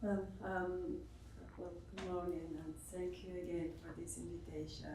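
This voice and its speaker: A woman's voice: lowish voice, flat delivery, moderate pace, background noise. A Cuban-born woman speaking as a professor on an academic panel, hesitant with many fillers and restarts. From Cuba. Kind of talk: spontaneous academic panel remarks. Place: seminar room. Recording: roomy echo, distant mic.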